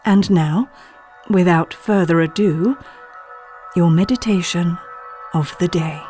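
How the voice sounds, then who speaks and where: An actress playing an earnest, unflappably polite wellness podcast host, introducing a meditation in a measured, gentle, deadpan way for an audio play. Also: female voice, fairly low pitch, faint music behind. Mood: gently deadpan.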